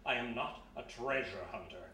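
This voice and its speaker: A man's voice: mid-range voice, moderate pace, pushed and bright, lightly acted. In a big echoing room, away from the mic, a man performs a stern, moneyed older father from a radio mystery. He is firm and blustering, used to giving orders, over faint noise. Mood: indignant. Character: stern older wealthy father, dismissive treasure-seeking excavator. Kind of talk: live radio mystery drama acting. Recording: very echoey hall, distant mic, faint noise.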